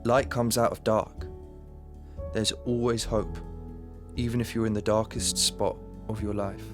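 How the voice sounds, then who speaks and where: A man's voice: on the low side, level tone, a little slow, over music, close to an everyday voice. An actor playing a young man with schizophrenia, a nervous, stammering musician, in a radio drama. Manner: stammering, hesitant restarts.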